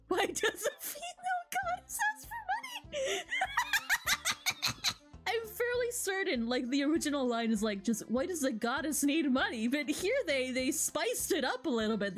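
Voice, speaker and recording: A woman streamer reading video game dialogue aloud with casual commentary, in a giggly manner that often breaks up her words. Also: high voice. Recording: music behind the voice. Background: video game music.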